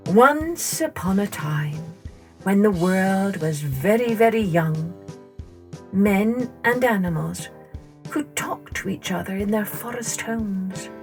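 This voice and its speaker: A woman narrating scripted children's folktales as a storyteller, in an expressive, measured way. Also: mid-range voice, faint music behind. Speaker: storyteller, podcast host.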